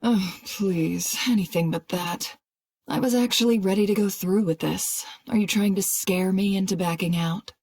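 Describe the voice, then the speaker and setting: A woman playing a composed, proud leader of a secret organization, speaking in a commanding, measured, wry way in a game cutscene. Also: medium pitch, darkish tone, lightly acted. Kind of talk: dramatic game cutscene acting.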